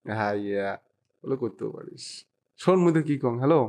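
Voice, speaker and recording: Fast-talking young North Bengal man. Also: medium pitch, expressive, a little mellow, a little hiss or hum. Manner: teasing, repeats himself. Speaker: YouTube prankster. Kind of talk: casual phone chat.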